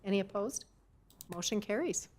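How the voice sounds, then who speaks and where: A woman chairs a city council meeting with a mid-pitched voice. She puts questions to staff and calls the votes in a brisk, procedural manner. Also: lively intonation, a little mellow. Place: council chamber.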